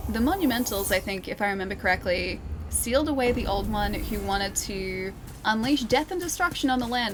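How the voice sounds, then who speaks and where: A woman's voice: a little high, a little hiss or hum. A young woman from Australia streaming a video game, chatting casually and giggling as she talks to her viewers. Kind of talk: casual live-stream commentary.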